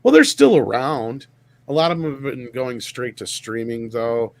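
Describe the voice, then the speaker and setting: A man's voice: medium pitch, animated, normal speed, vocal fry. A man hosting a casual chat show, reading viewer questions aloud and swearing bluntly as he answers them. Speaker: podcast host reading super chats.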